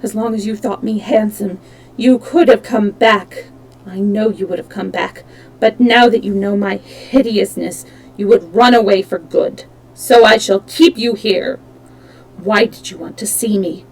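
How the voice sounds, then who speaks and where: A woman's voice: medium pitch, a little slow, low-quality recording. A woman reading aloud, voicing a tormented, disfigured masked man who roars, taunts and pleads. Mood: anguished. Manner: roaring, theatrical, alternately pleading and menacing.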